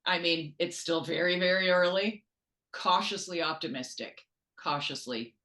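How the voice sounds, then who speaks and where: A woman chatting casually about sports as a podcast guest, excitable and joking as she tells stories. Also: fairly low pitch, unhurried. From Canada. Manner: excitable, animated storytelling, joking.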